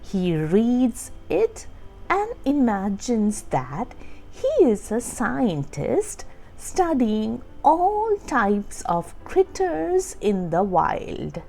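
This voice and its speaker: A woman narrates a picture book for young children with a hugely animated, swooping delivery. She takes her time, very slowly placing each phrase and stressing key words. Music plays beneath her storytelling. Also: fairly high pitch, gravelly. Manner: deliberate, expressive storytelling with emphasized words. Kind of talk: scripted read-aloud narration for young children. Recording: music under the voice. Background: background music.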